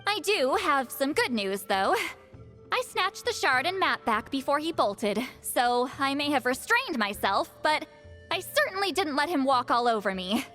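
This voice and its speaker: A sassy, proud young female seer from a video game. Her high voice swoops expressively, brash and teasing. It carries a long reverb with music behind it. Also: very animated, unhurried, sharp tone, heavily acted. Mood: confident, explaining. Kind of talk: animated video game cutscene acting.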